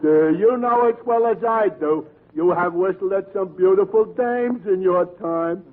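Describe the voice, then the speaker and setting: A man's voice: sits high, a little slow. A man playing a naive, dim-witted, lovelorn sidekick with a hesitant, earnest way of talking in 1940s radio comedy. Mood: sheepish. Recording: tinny vintage radio broadcast.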